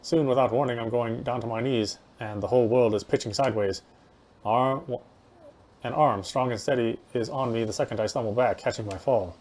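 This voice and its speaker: A man's voice: mid-range voice, a little fast, a little mellow, slight background noise. A man reading a game's story aloud as dramatic narration, as a player would. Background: game music.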